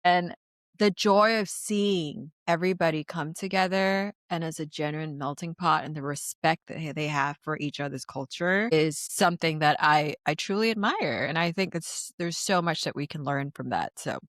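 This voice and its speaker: A woman hosts a podcast interview, thoughtful and earnest as she talks. Her voice sits on the low side. The recording is dry and close, with no room sound. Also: normal speed, fairly bright tone, dry recording. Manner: reflective, earnest, hopeful. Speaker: podcast host. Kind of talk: conversational interview.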